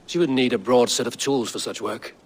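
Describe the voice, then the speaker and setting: An actor playing a calm, probing, quietly menacing Viking warrior in a video game, with a terse delivery. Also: male voice, mid-range voice, brisk, husky, lightly acted. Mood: probing, controlled. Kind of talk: video game character dialogue.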